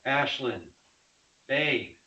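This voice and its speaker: A man's voice: medium pitch, expressive, drawn-out words, pushed and bright, full character voice. A man playing an easygoing, doubtful young boyfriend who questions and teases in a screenplay table read. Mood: skeptical. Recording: hissy, dull online-call sound.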